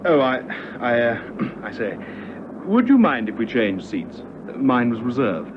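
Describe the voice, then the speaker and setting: An actor playing a fussy, polite train passenger with a hesitant, stammering manner, in a vintage radio drama. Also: male voice, medium pitch, sing-song, measured pace. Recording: dull old radio recording, loud train noise.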